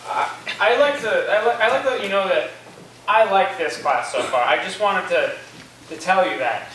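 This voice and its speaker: Man acting a timid, high-voiced student, echoey. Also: moderate pace, a bit bright. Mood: nervous, earnest. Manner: stammering, timid. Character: shy, nervous, earnest new male student. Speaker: actor in a staged reading. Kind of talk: staged play reading. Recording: distant echoey room, faint noise.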